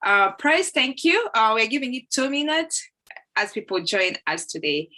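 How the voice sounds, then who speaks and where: A woman's voice: a little high, sing-song, moderate pace, sharp tone. A young woman, hosting a live video-call session in a chatty, welcoming way with lots of fillers. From Uganda. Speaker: host. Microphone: laptop or phone mic on a video call.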